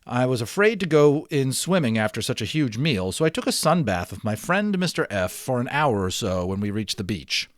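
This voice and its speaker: Animated male storyteller reading aloud. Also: medium pitch, moderate pace, hardly any pauses, dry recording, studio quality. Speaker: reader of a children's novel. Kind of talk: dramatic storybook read-aloud.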